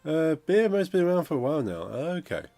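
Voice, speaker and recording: A man, croaky from a cold, chatting casually on a game dev stream and reading out chat. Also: fairly high pitch, big pitch swings, brisk, mellow, no room sound. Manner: rambling, chatty, reads out chat messages. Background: funky music playing.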